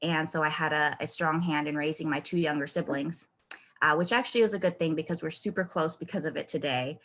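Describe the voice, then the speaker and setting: A woman's voice: deep voice, a little fast, a bit bright. A woman from California giving her first personal speech at an online club, friendly and candid with frequent ums. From Oceanside, California. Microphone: video call.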